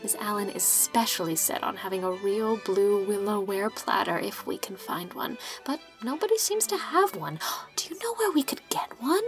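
A woman's voice: medium pitch, expressive, normal speed, faint music behind, natural voice. A woman voicing a gossipy, opinionated middle-aged village matron who chatters on. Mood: chatty.

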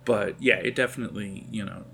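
Gravelly low-voiced man narrating a game. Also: a bit bright, slight background noise. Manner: halting, descriptive delivery. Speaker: game master. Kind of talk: improvised tabletop game narration.

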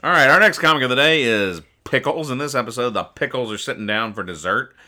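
A man's voice: mid-range voice, animated, fast talker, bright, forceful tone. A man hosting a comedy podcast, casually reading out and explaining comics in a rambling, mock-earnest way. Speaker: podcast host.

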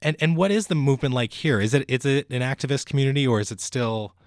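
A man hosting an interview, speaking casually and warmly affirming his guest, with frequent fillers. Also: mid-range voice, fast talker, no echo, studio quality. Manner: friendly, with many fillers and affirmations. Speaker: podcast host.

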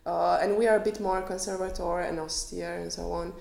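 A woman's voice: lowish voice, steady tone, fairly quick, gravelly, a little mellow. A woman from Transylvania, a tour guide explaining local history in an earnest, informative way. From Transylvania, Romania. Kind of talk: conversational guest explanation. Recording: echoey room.

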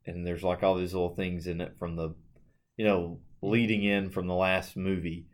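A man with a low voice co-hosts a podcast. He talks casually, as in an easy discussion between two people. His phrasing is informal and chatty. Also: flat delivery, moderate pace. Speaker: podcast co-host.

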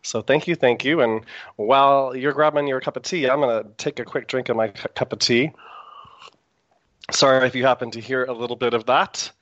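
A man's voice: medium pitch, steady tone, normal speed, a bit bright, no echo, muffled recording. A man hosting a live internet radio talk show, chatty and meandering with frequent fillers, speaking through a sore throat.

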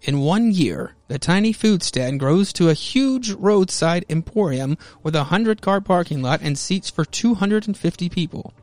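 A man's voice: high voice, expressive, normal speed, hardly any pauses, darkish tone, no echo. An American man hosting a podcast, mixing theatrical comic-book narration with casual commentary. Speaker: podcast host.

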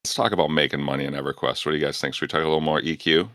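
A man hosting a casual podcast, relaxed as he steers the talk to new topics. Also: extremely low pitch, rapid-fire, dry recording, studio quality. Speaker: podcast host.

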